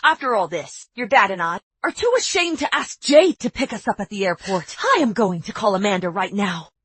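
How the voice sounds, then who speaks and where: A woman's voice: mid-range voice, animated, fairly quick, fairly bright tone, slightly rough, no room sound. A middle-aged actress playing an emotional mother who scolds indignantly.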